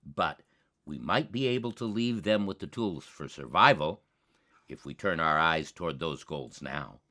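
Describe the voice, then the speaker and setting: A man with a low voice hosts a commentary show. He speaks slowly and deliberately in rhetorical, scripted phrases. His intonation swings widely, giving key words dramatic emphasis. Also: expressive, sharp tone. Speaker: host of a climate commentary show.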